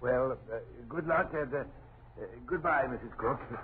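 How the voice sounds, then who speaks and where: An actor playing a nervous, evasive real estate agent who stammers and hesitates in an old radio horror drama. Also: male voice, medium pitch, moderate pace, close to an everyday voice. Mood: uneasy, hurried. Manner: hesitant, stammering, throat-clearing, repeats himself. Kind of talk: 1940s radio horror acting. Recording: tinny, faintly noisy old radio recording.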